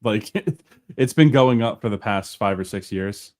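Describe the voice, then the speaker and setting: Low-pitched man in casual panel chat. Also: measured pace. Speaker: panelist on an online panel show.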